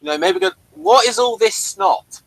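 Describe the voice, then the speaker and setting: A man's voice: extremely high pitch, animated, moderate pace, vocal fry, fairly bright tone, no room sound, poor audio. A man chatting casually as a co-host in a review discussion.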